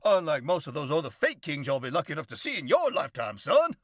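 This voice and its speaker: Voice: mid-range voice, huge pitch swings, fast, a bit bright, no echo, clean studio sound, pitched above the performer's own voice. A performer voicing a pompous self-styled king with a Southern US accent, folksy and blustering, laughing at his own jokes.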